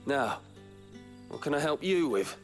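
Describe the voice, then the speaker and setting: An actor playing a friendly, gossipy local in a fantasy game, talking in a wry, familiar way. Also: male voice, on the high side, big pitch swings, unhurried, faint music behind. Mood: teasing, helpful. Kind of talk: video game dialogue acting.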